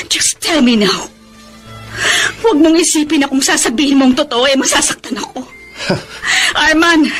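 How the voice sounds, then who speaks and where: Very high-voiced, wounded Filipino wife. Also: female voice, a bit bright. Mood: wounded demanding. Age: middle-aged. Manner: tearful, drawn-out emotional delivery. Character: Amor, weary long-suffering wife and mother, hurt and bitter. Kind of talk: Tagalog radio drama acting with English mixed in.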